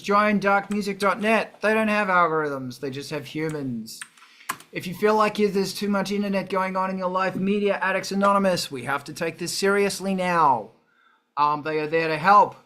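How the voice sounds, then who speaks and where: A man's voice: high voice, normal speed, bright, forceful tone, a little hiss or hum. A man hosting a casual livestream in a sweary, jokey, rambling manner. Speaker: show host.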